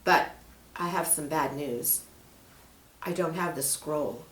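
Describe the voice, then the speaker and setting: A woman voicing an excitable, exasperated young boy adventurer in a storybook, with urgent, emphatic delivery. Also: low voice, takes it slowly, pitched below the performer's own voice. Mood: defensive. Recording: roomy, echoing room.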